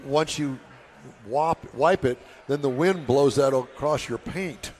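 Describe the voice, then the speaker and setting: A man's voice: medium pitch, slow pace, darkish tone, slight background noise. A man hosting a live car talk show, chatting and bantering with guests in a joking, folksy way. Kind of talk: live interview and casual banter. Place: remote broadcast at an event venue. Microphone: broadcast microphone.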